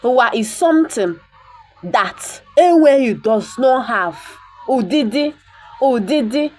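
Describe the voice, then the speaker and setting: Igbo-speaking woman teaching slowly, with repetition. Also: on the high side, expressive, darkish tone, faint music behind. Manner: slow, repeats words for pronunciation practice. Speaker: language teacher. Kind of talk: reading and explaining a bible text.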